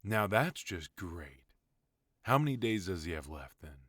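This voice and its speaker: A man voicing a ruthless mafia boss who is also a husband, in an ASMR audio roleplay. His voice is extremely deep, and he drawls slowly with cold, measured menace. The sound is dry and close to the mic. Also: very animated, fairly bright tone, no echo, heavily acted. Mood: cold, threatening.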